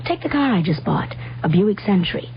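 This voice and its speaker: A woman's voice: mid-range voice, big pitch swings, brisk. An actress playing a confident modern woman in a radio commercial, telling her story wryly. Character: confident, wry modern career woman car buyer. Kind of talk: 1970s radio commercial monologue. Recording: muffled radio recording, faint hum.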